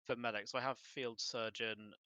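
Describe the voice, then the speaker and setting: A man's voice: medium pitch, steady tone, normal speed, sharp tone, clean tone, dry recording. A man chatting casually as a player during a tabletop game.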